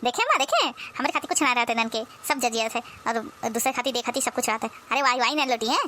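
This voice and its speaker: A woman's voice: fairly high pitch, expressive, fairly quick, bright, forceful tone, slight background noise. A woman voicing a demanding, sulky village sister-in-law in a comedy cartoon, whining and quarrelsome in an Awadhi accent. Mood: indignant. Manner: whiny, quarrelsome, rustic.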